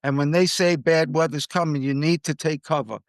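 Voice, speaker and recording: A man's voice: a little high, steady tone, normal speed, no room sound, studio quality. An American man hosting a podcast, giving conversational commentary on the news. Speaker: podcast host.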